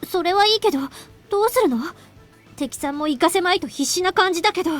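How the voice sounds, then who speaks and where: Very high, lively anime schoolgirl. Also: rapid-fire, faint music behind. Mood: defiant. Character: spirited anime schoolgirl, brave and feisty. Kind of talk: Japanese anime game voice acting.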